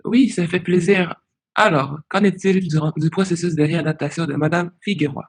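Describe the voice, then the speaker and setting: A man asking questions on a professional call, polite and halting as a case agent. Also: on the high side, steady tone, slightly rough. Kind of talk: professional phone conversation, questioning.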